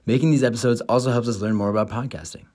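A man's voice: medium pitch, fairly even intonation, racing, darkish tone. A young man in his twenties, an American podcast host, reading his own script with an upbeat, enthusiastic manner. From United States. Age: 20s.

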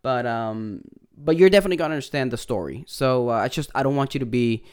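A man's voice: medium pitch, lively intonation, fast, darkish tone, clear, resonant tone. A man with a Puerto Rican accent hosting a podcast, rambling casually with swearing, restarts and Spanglish. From Puerto Rico. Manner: rambling, profane, laughs often, restarts, mixes Spanish into English. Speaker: podcast host. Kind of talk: casual podcast intro and chat.

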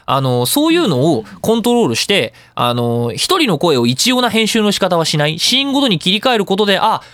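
A man hosts a casual radio talk show in a dry studio sound. His fairly high voice swings freely in pitch as he explains things politely, tossing in jokes at his own expense. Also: big pitch swings, brisk, fairly bright tone, dry recording. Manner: polite, self-joking, explanatory. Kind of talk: casual solo talk show on audio production. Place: recording studio.

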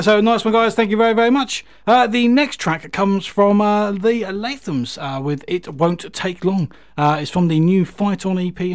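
A man's voice: high voice, no echo, clean studio sound. A man casually presenting a music radio show in a chatty, rambling and somewhat hesitant way.

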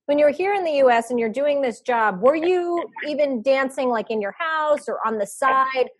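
Upbeat high-voiced woman podcast host. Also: animated, normal speed. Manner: upbeat and enthusiastic. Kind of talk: podcast interview.

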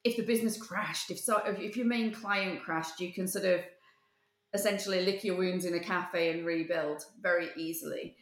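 A woman CEO answering interview questions over a video call, measured and articulate with frequent pauses. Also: on the low side, fairly even intonation, roomy sound. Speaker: company CEO, guest.

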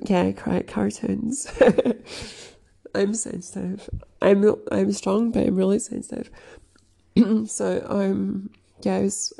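A woman chatting casually, sniffly from crying, halting with many fillers and frequent throat clearing. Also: mid-range voice, mellow. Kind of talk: casual rambling chat.

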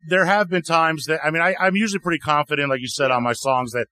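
A man talking about his music as an interview guest, loose and conversational, leaning on "you know" between thoughts. His voice sits a little high for a man and comes through bright and forward. The sound is close and dry. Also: quick pace, dry recording. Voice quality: deeper voice. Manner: relaxed, chatty, frequent "you know" fillers. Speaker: guest singer-songwriter. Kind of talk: casual interview answers. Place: outdoor music festival.

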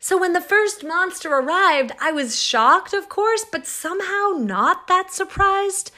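An actress playing an exasperated, confiding woman in a comic first-person story monologue, with wry emphasis and dramatic pauses. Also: very high-pitched, animated, pushed and bright, exaggerated voice. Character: exasperated, wryly confiding woman plagued by monsters and heartbreak. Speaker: actress reading a short story.

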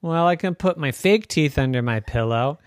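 A parent storyteller voicing a silly, greedy dad who has false teeth, in an excitable, hammy manner. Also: mid-range voice, normal speed, smooth, no room sound, natural voice. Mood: scheming.

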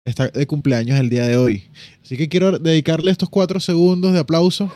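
Male podcast co-host, slightly high pitch, relaxed teasing delivery. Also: noisy background. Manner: relaxed, teasing, drawn-out storytelling. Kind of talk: casual chat.

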